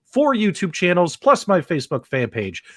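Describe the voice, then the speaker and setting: A man's voice: high-pitched, moderate pace, dry recording, studio quality. A man hosting a live video game podcast, talking with energy and hyping up the audience. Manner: energetic, upbeat, hypes the audience. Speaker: host.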